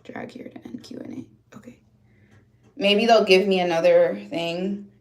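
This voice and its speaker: A woman's voice: on the low side, fairly even intonation, normal speed, a little mellow. A woman in her thirties from upstate New York, hosting a casual livestream and chatting with viewers in the comments, rambling with lots of fillers and restarts. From upstate New York, USA. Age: 30s. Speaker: livestream host. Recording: echoey room.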